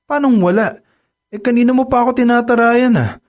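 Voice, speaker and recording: A man's voice: extremely high pitch, a little fast. A young man acting a devoted boyfriend in a radio drama, talking in a pleading, flustered way and stammering when pressed. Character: young man, lovestruck boyfriend growing suspicious. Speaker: lead character, devoted boyfriend.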